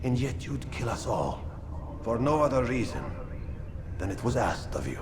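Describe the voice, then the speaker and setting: Proud dying villain, animated pitch. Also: male voice, normal speed, creaky, noisy background. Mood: bitter, impassioned. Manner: dramatic, rhetorical, scornful. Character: defiant dying male slaver, proud, contemptuous, self-justifying. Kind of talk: video game cutscene acting.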